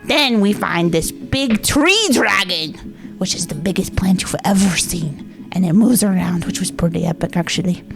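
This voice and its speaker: A woman voicing a small, childlike, excitable storyteller in a tabletop game, rambling bluntly and getting flustered, with music playing behind. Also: fairly low pitch, moderate pace, gravelly. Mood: eager, flustered.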